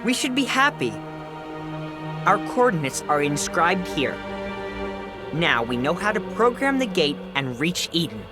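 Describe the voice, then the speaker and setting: A woman's voice: lowish voice, big pitch swings, a little slow, long pauses. A woman playing an enthusiastic scientist explorer in a sci-fi game. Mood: pleased. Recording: music behind the voice.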